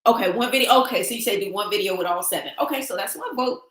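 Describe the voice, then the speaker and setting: A woman with a slightly raspy, travel-worn voice hosts a casual livestream and chats with viewers. She talks in an animated way and reads their comments aloud. The sound is echoey, as if recorded in a bare room. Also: mid-range voice, quick pace. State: losing her voice from travel. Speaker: livestream host. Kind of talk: casual livestream sign-off chat.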